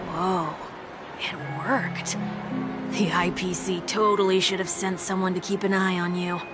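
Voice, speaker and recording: An actress playing a quick-witted young woman trailblazer in a video game, talking in a wry, questioning way over loud game music. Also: lowish voice, level tone, close to an everyday voice. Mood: curious. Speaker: player character. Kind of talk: game voice acting.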